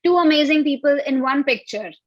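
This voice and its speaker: A woman with a high-pitched voice hosts an interview over a video call, speaking in a measured way and pausing on uh fillers. The sound is dry and a little dull, like a modest laptop mic. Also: expressive, no echo. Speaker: host. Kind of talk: interview hosting.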